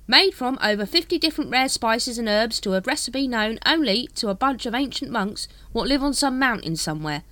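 A woman voicing a shifty, fast-talking male street hawker with wheedling, conspiratorial sales patter in a storybook. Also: a little high, expressive, bright, forceful tone, no echo, pitched above the performer's own voice.

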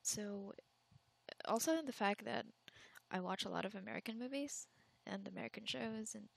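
Gravelly young woman chatting candidly. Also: medium pitch, normal speed.

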